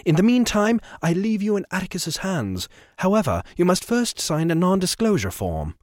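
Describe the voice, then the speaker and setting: A man's voice: high voice, sing-song, moderate pace, dry recording, studio quality, pitched above the performer's own voice. A man voicing a haughty ship owner with a pompous, clipped, scolding manner.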